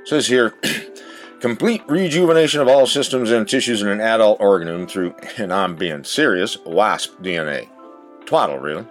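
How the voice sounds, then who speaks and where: An actor playing a wry, sceptical know-it-all in a satirical audio drama, dry and self-assured. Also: male voice, lowish voice, lively intonation, normal speed, soft background music, close to an everyday voice. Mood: dry scoffing.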